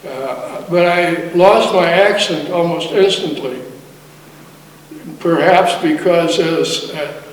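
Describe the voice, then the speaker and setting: An older man born in Poland, with Polish as his first language, recalling his past slowly and hesitantly in an oral history interview. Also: fairly high pitch. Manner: slow, hesitant, self-correcting recollection. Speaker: war veteran interviewee. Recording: distant echoey hall recording.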